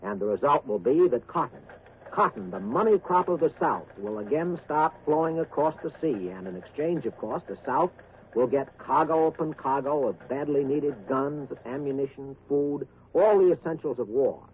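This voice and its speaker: Actor as a grave higher-voiced radio war correspondent. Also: male voice, animated, normal speed, lightly acted. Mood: urgent, emphatic. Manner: hesitant, emphatic, grave. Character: earnest war correspondent giving grave expert analysis. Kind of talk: 1940s radio acting as news commentary. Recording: tinny, noisy vintage radio recording.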